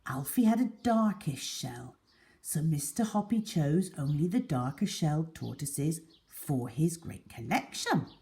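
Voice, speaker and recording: A woman storyteller reading a children's story aloud in an expressive, deliberate and emphatic way. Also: medium pitch.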